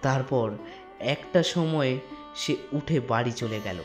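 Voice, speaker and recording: A man narrating a scripted ghost story in a dramatic storytelling manner with drawn-out pauses. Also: mid-range voice. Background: soft instrumental music.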